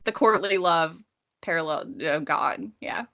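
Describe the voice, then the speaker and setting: A woman's voice: low voice, unhurried, a bit bright. A woman co-hosting a casual book discussion, explaining things enthusiastically and with tangents. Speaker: podcast co-host.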